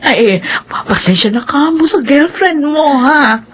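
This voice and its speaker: A woman's voice: high voice, lively intonation, a little slow. An actress playing an emotional, fretful middle-aged mother in a Filipino radio drama. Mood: apologetic.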